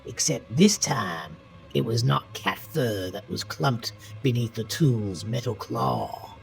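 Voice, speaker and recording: A man tells a horror story in a deliberate, suspenseful way. His pacing is slow and his pitch moves expressively through the dramatic scripted narration. Faint music runs underneath his voice. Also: very animated, gravelly. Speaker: audiobook-style narrator.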